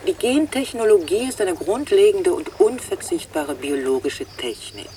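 A woman's voice: lowish voice, animated, moderate pace, dark tone, close to an everyday voice. An actress plays a female government minister in a radio drama. She delivers formal, evasive statements with strongly varied intonation, sounding distant with faint noise behind her. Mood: officious.

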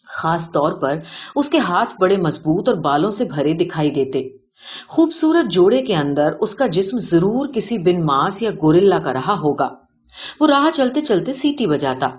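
A woman reading a scripted short story as an audiobook narrator, in a recording with dull, band-limited sound. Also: on the low side.

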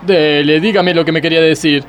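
An actor playing a self-pitying, pompous judge with an Argentine accent in a comic radio play. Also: male voice, on the high side, steady tone, a little fast, close to an everyday voice. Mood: sheepish, grumbling. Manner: whiny, pompous, speechifying. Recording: faint vehicle and street noise behind.

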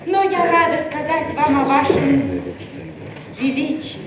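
A woman's voice: sits high, slow pace, dull audio. An actress playing an exalted woman envoy from the communist future, declaiming solemnly in a Soviet radio play. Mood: proud, exalted. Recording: noisy, echoey archival radio recording.

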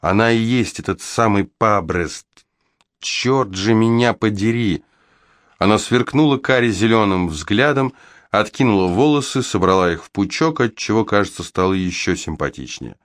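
A man reading first-person literary fiction aloud as scripted narration, with expressive, wry delivery. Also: fairly low pitch, normal speed, no echo, studio quality. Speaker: audiobook reader.

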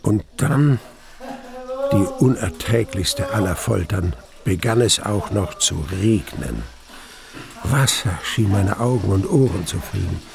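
An actor playing a tormented man recounting his ordeal, as measured, grave and dramatic narration in a radio drama. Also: fairly low pitch, expressive, vocal fry, crowd noise, heavily acted. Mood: bleak intensity.

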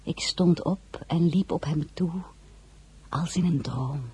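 A woman's voice: low-pitched, slow, dark tone, slightly rough, heavily acted. A woman playing a discreet middle-aged noblewoman who narrates her own story and often drops into a whisper. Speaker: narrator and lead character. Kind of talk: radio play acting with diary narration.

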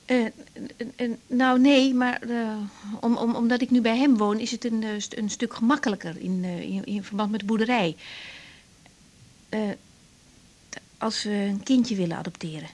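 A woman's voice: on the high side, moderate pace, a little mellow, lightly acted. An actress playing a frank young woman doctor in a naturalistic Dutch radio drama, hesitant and informal in her speech. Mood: warm, hesitant. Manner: hesitant, repeating words, informal. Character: young woman doctor, frank, caring but easily thrown.

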